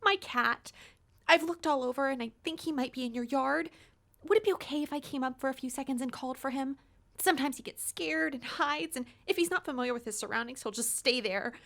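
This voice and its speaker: A high, creaky-voiced young woman next door, pleading and emotive. She sounds like a distressed neighbor begging for help in an audio drama. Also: fairly quick, a bit bright. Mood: anxious pleading. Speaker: actor.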